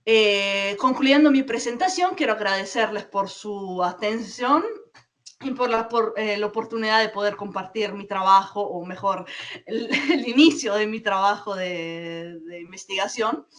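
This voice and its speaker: A woman presents her research at an online conference, pausing often and correcting herself mid-phrase. Her voice sounds a little distant from the microphone, as if over a video call. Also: medium pitch, fairly bright tone. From Peru. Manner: halting, many fillers and restarts. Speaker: academic presenter. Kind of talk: conference talk.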